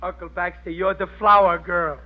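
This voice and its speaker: A man's voice: high voice, little pitch movement, normal speed, heavy background noise, natural voice. A man playing a bumbling, good-hearted working-class American husband in a 1940s radio sitcom, with slangy, folksy bluster. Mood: bossy, jovial. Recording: muffled old 1940s radio broadcast.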